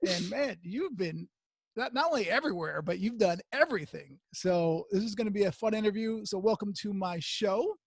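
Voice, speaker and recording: A man hosting a podcast interview, chatty and asking quick follow-up questions. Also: high-pitched, animated, vocal fry, husky, no room sound, studio quality. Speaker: podcast host. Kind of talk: casual interview.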